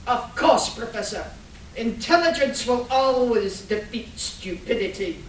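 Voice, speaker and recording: Echoey far-off recording, lady doing a smug villainess, slow and high, scornful comedy radio play stuff. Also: expressive. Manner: deliberate, mocking. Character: scornful, gloating female supervillain in a laboratory. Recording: roomy, distant live convention recording with noise.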